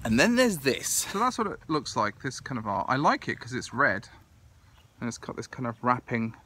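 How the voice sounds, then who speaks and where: A man's voice: medium pitch, animated, normal speed, sharp tone. A British man in his forties with a cold, chatting casually to a phone camera outdoors, thinking aloud with lots of fillers. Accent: British English. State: croaky with a cold. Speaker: family vlogger. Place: outdoors in a large garden and meadow. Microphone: phone camera held at arm's length.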